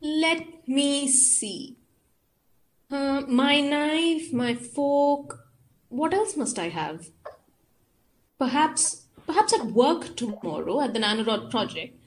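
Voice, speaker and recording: Woman acting a dreamy lady scientist in an audio drama, highish voice, super slow, halting, thinking out loud. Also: expressive, rough recording. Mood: musing. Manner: halting, pondering aloud. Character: absent-minded woman scientist musing alone at home.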